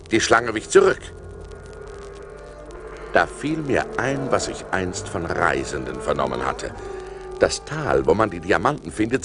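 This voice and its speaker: An animated, suspenseful seafaring adventurer. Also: male voice, medium pitch. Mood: tense, excited. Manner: theatrical, suspenseful, expressive delivery. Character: Sindbad, male seafaring adventurer recounting perils, fearful yet resourceful. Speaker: narrator and lead actor. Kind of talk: dramatic storytelling in a German audio play. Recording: vinyl record transfer.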